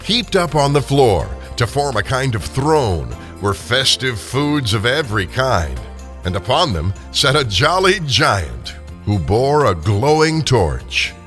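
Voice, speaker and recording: A man's voice: mid-range voice, big pitch swings, slow pace, a bit bright, faint music behind. A man narrating a classic story dramatically as scripted radio theater. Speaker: narrator and performer.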